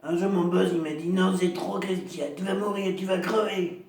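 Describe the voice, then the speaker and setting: A man playing a lonely, proud woman in her fifties, a chain-smoking heavy drinker with a deep, gravelly voice who rambles slowly and repeats herself in a comic monologue. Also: steady tone, reverberant, recorded from a distance. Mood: rambling. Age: 50s. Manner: slow, rambling, repetitive, self-pitying.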